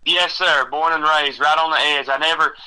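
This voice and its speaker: Bright-voiced young man. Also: fairly high pitch, fairly even intonation, smooth, rough recording. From Texas. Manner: halting, rambling with many fillers. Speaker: country singer guest. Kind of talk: casual interview chat.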